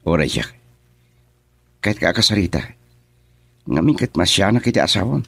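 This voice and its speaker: A scheming, cold man in a radio play, voiced by a man who taunts his rival in a low voice. The sound is dry and close to the mic. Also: expressive, moderate pace, gravelly, a bit bright, breathy edge, no echo, exaggerated voice. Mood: taunting. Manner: taunting, menacing. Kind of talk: radio drama acting.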